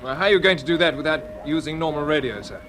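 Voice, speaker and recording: A man's voice: on the high side, lively intonation, racing, close to an everyday voice. An actor with a British accent playing a keen, respectful young male space pilot in an old-time radio drama. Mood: concerned. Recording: noisy vintage radio recording.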